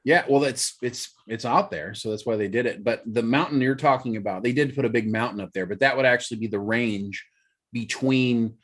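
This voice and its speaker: A man's voice: mid-range voice, brisk, a bit bright. Chatty podcast host man. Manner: chatty, explanatory, hedging with fillers. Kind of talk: casual chat.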